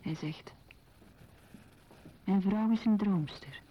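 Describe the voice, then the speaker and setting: A woman's voice: mid-range voice, slow pace. An actress playing a troubled, introspective wife in a radio drama monologue, confessing her unease. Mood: bitter. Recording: dull radio play, faint noise.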